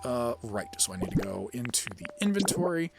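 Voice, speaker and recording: A man's voice: medium pitch, sing-song, brisk, creaky, loud music behind the voice. A man giving casual video game playthrough commentary, thinking aloud and correcting himself as he goes. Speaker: video game player and amateur voice actor.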